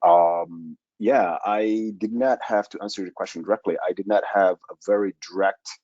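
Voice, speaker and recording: A man from Somalia, telling his own story on a panel in a halting, measured way over a video call. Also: lowish voice, fairly even intonation, darkish tone, no echo. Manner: halting, measured, searching for words. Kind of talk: personal account on a panel. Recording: dull lo-fi video call audio.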